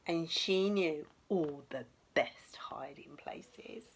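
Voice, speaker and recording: A woman teacher reading a storybook aloud to young children in an expressive, dramatic way, with asides to the listeners. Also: low voice, slow, breathy edge.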